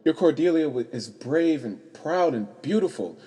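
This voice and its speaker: Man as an eager young actor, husky and lively. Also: fairly high pitch, normal speed, in a large hall. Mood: earnest, smitten. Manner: hesitant, stammering, earnest. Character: eager, shy, lovestruck young understudy turned actor. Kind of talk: radio play acting.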